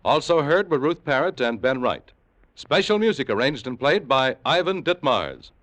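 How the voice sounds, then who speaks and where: A man's voice: medium pitch, expressive, normal speed. A man with an American accent, a polished, emphatic announcer in mid-century radio style. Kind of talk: 1950s radio announcing and sponsor read.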